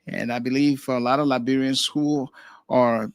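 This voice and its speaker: A man hosting a casual podcast discussion, speaking in a relaxed way with some hesitations. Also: medium pitch, clean tone, no room sound, studio quality. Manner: relaxed, hesitant, fills pauses with um and uh.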